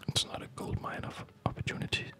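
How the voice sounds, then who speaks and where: A person whispering ASMR commentary while playing a game, breathy and unhurried, murmuring as if to themselves. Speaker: gamer narrating own play.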